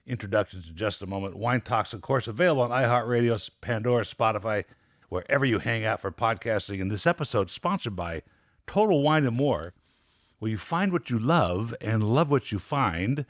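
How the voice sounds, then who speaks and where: A man's voice: on the low side, expressive, brisk, dry recording. A middle-aged man hosting a wine podcast in a studio, upbeat and rambling with frequent restarts. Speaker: podcast host. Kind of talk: casual interview chat.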